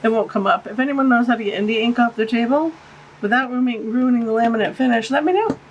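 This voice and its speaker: Chatty woman with a stuffed-up nose, kinda high voice, cooing over tiny paint tubes, faint hiss in the room. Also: fast, lo-fi sound. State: stuffy, congested. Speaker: art channel host. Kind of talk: casual unboxing vlog. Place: home art studio, tabletop overhead shot. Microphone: camera-mounted shotgun mic.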